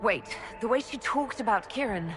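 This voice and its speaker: An actress playing an earnest elven mage heroine in a video game, asking direct, searching questions. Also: female voice, a little high, lively intonation, moderate pace, natural voice. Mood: wary. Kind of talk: dramatic video game voice acting. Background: background music.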